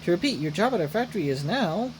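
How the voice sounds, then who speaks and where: A man voicing a formal factory announcer in a video game, polite, measured and quietly menacing. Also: sits high, very animated, noisy background. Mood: falsely cheerful. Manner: measured, official.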